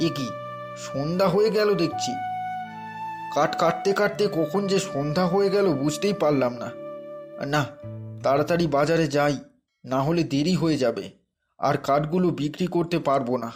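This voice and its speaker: Poor village woodcutter husband played by a man, earnest and weary, higher-pitched voice, fairy tale cartoon, music underneath. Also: moderate pace, long pauses, close to an everyday voice. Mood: busy, musing.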